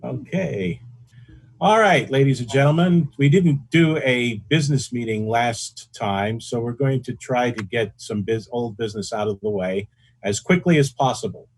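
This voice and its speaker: A man's voice: mid-range voice, normal speed, breathy edge, poor audio. A man informally chairing a club meeting over a video call.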